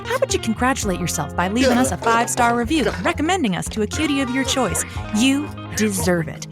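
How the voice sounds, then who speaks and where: A woman's voice: on the high side, very animated, fast talker, music in the background. A woman playing a flirty, encouraging late-night radio advice hostess with playful, breezy patter in a comedy audio drama. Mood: cheerful sign-off.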